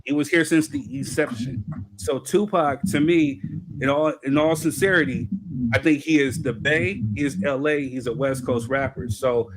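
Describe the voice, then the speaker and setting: A man's voice: mid-range voice, moderate pace, background noise. A man from California on a podcast panel, debating hip hop in an emphatic casual way, often asking "you know what I'm saying".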